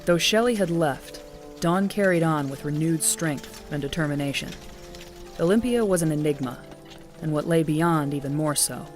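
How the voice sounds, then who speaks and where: A man's voice: a little high, moderate pace, soft background music, close to an everyday voice. A man playing a storyteller, reading scripted dramatic narration in a fan audio drama. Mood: measured, portentous. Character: storytelling male narrator who is a character in the tale.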